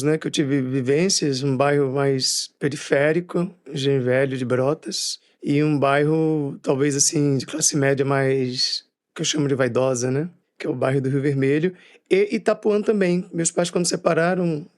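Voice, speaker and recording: A man with a Bahian accent tells stories about his family in a relaxed interview. He talks in a chatty way, full of little fillers and laughter. His voice sits a bit higher than most men's. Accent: Bahian Portuguese. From Bahia, Brazil. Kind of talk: casual storytelling interview.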